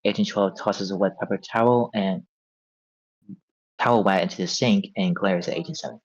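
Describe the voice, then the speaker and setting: A man's voice: low-pitched, fairly even intonation, moderate pace. A man reading scripted scene directions as narrator at a table read. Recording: dull, lo-fi sound.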